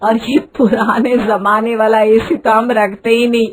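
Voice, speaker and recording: Woman voicing a haughty, showy mother-in-law, high-pitched. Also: flat delivery, measured pace, close to an everyday voice. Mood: boastful, mocking. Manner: haughty, dramatic, wheedling. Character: showy, boastful middle-aged Indian mother-in-law. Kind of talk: Hindi cartoon moral story voice.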